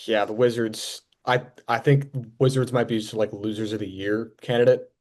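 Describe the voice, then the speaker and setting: A man's voice: on the low side, steady tone, moderate pace, a little mellow. Young man hosting a sports podcast. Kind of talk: casual sports talk.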